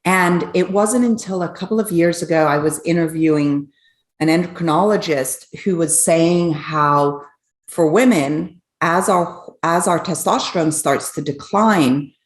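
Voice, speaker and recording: A woman's voice: fairly low pitch, a little slow, roomy sound. A woman co-hosting a podcast, asking long, eager, rambling questions. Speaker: podcast co-host. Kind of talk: podcast interview.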